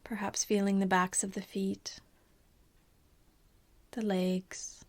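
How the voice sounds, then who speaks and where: Woman, meditation teacher, soft breathy voice, calm and soothing, slow guided body scan with long pauses. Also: medium pitch.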